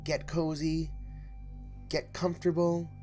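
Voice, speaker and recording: A man hosting a story-reading livestream, chatting casually in a halting, think-out-loud way over background noise. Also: a little high, soft background music. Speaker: host of a spooky story reading stream. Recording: clear steady background noise.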